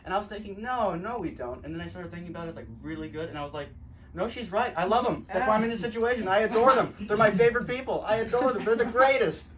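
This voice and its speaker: A teenage man from Los Angeles doing a deadpan live stand-up set, often laughing at his own jokes. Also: high-pitched, fast talker, a crowd in the background. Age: teens. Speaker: stand-up comedian. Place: comedy club stage, live audience. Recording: noisy live room, mic at a distance.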